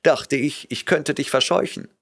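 A man's voice: medium pitch, normal speed, creaky, a bit bright, voice pushed up. A man voicing a terrified young woman who pleads and whimpers, breathless with fear, in an audiobook reading. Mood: fearful. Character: terrified young woman, a trembling false oracle.

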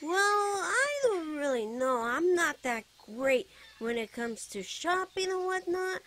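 A woman's voice: very high-pitched, big pitch swings, fairly bright tone, a little hiss or hum, heavily acted. A woman voicing a playful, teasing, fondly protective young bard boy in a giggly ASMR character roleplay. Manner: teasing, giggly, drawn-out phrases. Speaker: voice actor.

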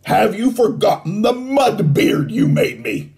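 A man's voice: high voice, big pitch swings, a little slow, darkish tone, voice pushed up, full character voice. A man voicing a grumpy, sarcastic boy who grunts and complains in a children's story.

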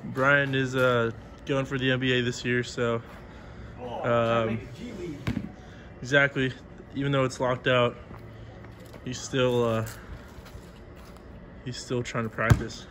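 A man's voice: mid-range voice, level tone, takes it slowly, long pauses, noisy background. A man vlogging a workout in casual bro slang, swearing and hyping his friends up. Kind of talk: casual gym vlog chat. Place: outdoor yard and makeshift backyard gym. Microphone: handheld camera or phone. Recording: loud music over the voice. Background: loud music.

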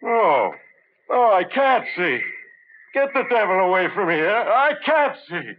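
A man's voice: high voice, very animated, takes it slowly, exaggerated voice. An actor playing an agitated, frightened man in an old-time radio drama. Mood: panicked. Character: panicked, agitated man pushed by a doctor. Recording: dull, noisy old radio recording.

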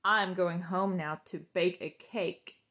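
A woman voicing a glum, easily tempted male toad in a storybook, with slow, drawn-out delivery. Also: on the low side, steady tone, a bit bright. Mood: sad, resigned.

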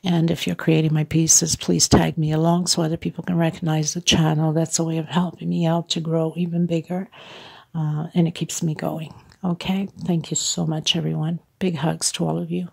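A middle-aged woman with a congested, stuffed-up voice hosts a chatty crochet tutorial at home and thanks her viewers warmly. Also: low-pitched, flat delivery, moderate pace. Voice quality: husky, gravelly voice. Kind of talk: casual step-by-step craft tutorial. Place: at home, table with yarn.

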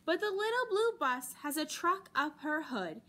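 High-pitched American woman reading a storybook. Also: very animated, moderate pace, fairly bright tone. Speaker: librarian storytime host. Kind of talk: expressive picture-book read-aloud for children. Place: library children's room, indoors.

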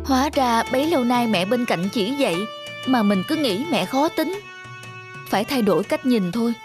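Young married cartoon daughter-in-law, acted by a woman, Northern Vietnamese accent, complaining, emphatic, higher voice, light background music. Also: lively intonation, normal speed, natural voice. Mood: relieved, reflective. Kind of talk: animated moral-tale dialogue.

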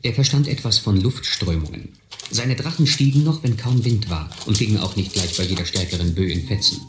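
A man's voice: lowish voice, brisk, reverberant, natural voice. An actor narrating a radio drama as a man recounting his boyhood street adventures. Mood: reflective.

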